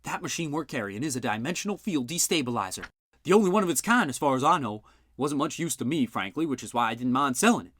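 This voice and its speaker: A man voicing a gruff, blustering older male inventor who talks loud and boastful. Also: medium pitch, animated, quick pace, pitched above the performer's own voice.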